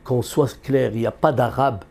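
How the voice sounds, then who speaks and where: A man giving an unscripted interview as an economist, earnest and halting, with many fillers and restarts. He grew up in Algeria and has Berber roots. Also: medium pitch, steady tone, vocal fry, a little mellow.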